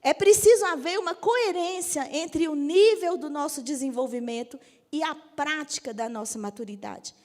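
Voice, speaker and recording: Bishop in her fifties with a Brazilian accent, high and lively, teasing the congregation in a reverberant church hall. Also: moderate pace. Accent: Brazilian Portuguese. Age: 50s. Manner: lively, jokes with the audience, asks for agreement. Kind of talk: church teaching talk.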